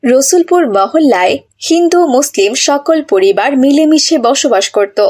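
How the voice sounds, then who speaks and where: Casting: female storyteller for cartoon narration, high-pitched voice, scripted delivery. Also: animated, normal speed, a little mellow.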